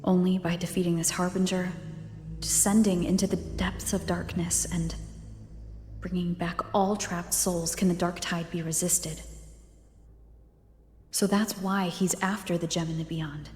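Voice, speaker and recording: A woman's voice: lowish voice, flat delivery, measured pace, natural voice. An actress voicing a curious young woman adventurer who reasons aloud through clues in an English game dub. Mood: pondering. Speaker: protagonist investigating ruins. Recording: roomy game audio with long reverb. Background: soft music.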